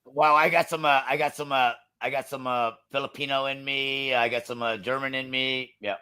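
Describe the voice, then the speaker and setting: A man's voice: mid-range voice, fairly even intonation, moderate pace, bright, forceful tone, clean tone. A man joining a live-stream panel as a guest, talking hesitantly with many fillers, over a noisy remote call. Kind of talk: casual panel chat.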